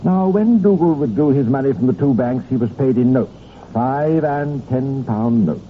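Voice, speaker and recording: A British man narrating a 1950s radio crime drama in a deliberate, dramatic, knowing way. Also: medium pitch, lightly acted. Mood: measured, wry. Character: measured, knowing male crime-case narrator. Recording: tinny, noisy 1950s radio recording.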